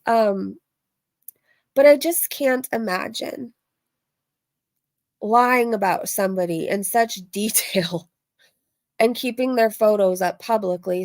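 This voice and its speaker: Woman, livestream host, vocal fry, casual rambling chat, informal, emphatic repetition, dry close recording. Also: mid-range voice, dry recording. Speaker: livestream host reacting to drama and reading chat.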